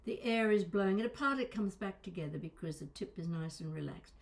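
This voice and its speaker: A woman's voice: medium pitch, expressive, fairly quick, vocal fry. A woman coaching vocal exercises in a conversational, instructional way, stressing and stretching key words. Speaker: voice and accent coach.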